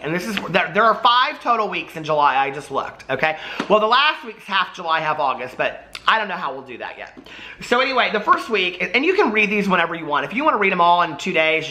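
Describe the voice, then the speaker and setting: A man's voice: a little high, bright, forceful tone, slight background noise. A man chatting casually as a book vlogger, gushy and playfully dramatic, often wandering off into rambling asides. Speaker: book vlogger hosting a readalong. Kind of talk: casual chat to camera.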